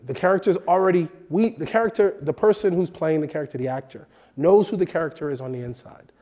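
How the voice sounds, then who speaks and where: A man in his thirties hosting a film production lesson in a studio, animated and expressive, sounding a bit nasal from a cold. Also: on the high side, fast talker. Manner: animated, expressive, gestures with hands. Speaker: host. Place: indoor studio set.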